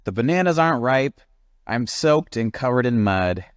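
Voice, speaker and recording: A man's voice: mid-range voice, expressive, normal speed, smooth, no room sound, studio quality, voice pushed up, close to an everyday voice. A man voicing a grumpy, complaining monkey in a storybook, whiny and exasperated.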